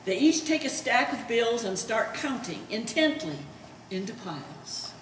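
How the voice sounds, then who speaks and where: Lady reading stage directions, slightly high voice, kinda far off in an echoey room. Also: very animated, measured pace. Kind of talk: scripted stage narration. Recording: echoey room, distant mic, faint noise.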